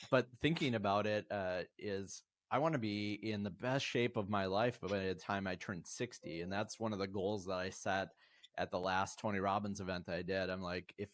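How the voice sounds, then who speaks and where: A man's voice: lowish voice, dry recording. A man in his fifties, a podcast guest, talking earnestly and reflectively in a motivational way. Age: 50s. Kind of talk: casual interview talk.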